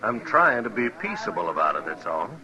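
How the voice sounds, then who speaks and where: A man's voice: fairly low pitch, big pitch swings, a little fast, dull audio, a crowd in the background. An actor playing a sly frontier gunman with an air of injured innocence in an old-time radio western. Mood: falsely innocent.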